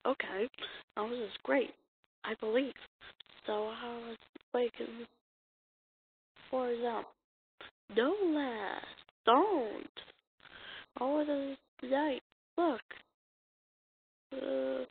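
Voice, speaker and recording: Woman, amateur comic dub, high creaky voice, halting delivery, lo-fi mic. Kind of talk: amateur dramatic comic reading, acting out dialogue. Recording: muffled lo-fi mic with hiss.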